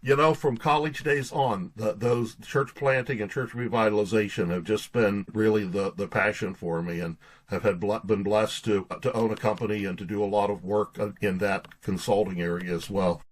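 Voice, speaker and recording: A man's voice: on the low side, fairly even intonation, normal speed, poor audio. A man in his late sixties, a pastor and guest, chatting and telling stories in an interview, often repeating words. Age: 60s. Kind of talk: casual storytelling interview talk.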